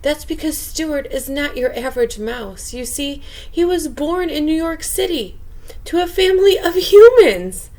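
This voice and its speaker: Higher-voiced woman reading a bedtime story. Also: unhurried. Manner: expressive, addresses young listeners. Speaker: storyteller reading a children's book aloud.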